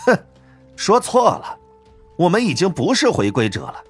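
A man voicing an arrogant foreign male fighter in an audiobook, hoarse, mocking and scornful. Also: high voice, huge pitch swings, moderate pace, faint music behind, voice pushed up, exaggerated voice. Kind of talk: audiobook character dialogue.

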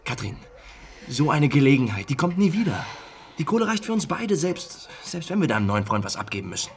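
An actor playing a brash, shifty young trucker in a German radio crime drama, talking brusquely in pushy slang. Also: male voice, fairly high pitch, very animated, fairly quick, slight background noise. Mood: coaxing. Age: young adult.

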